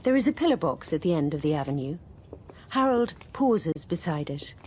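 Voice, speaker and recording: A woman narrating a radio drama in a measured, even storytelling manner. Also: deep voice, a little hiss or hum.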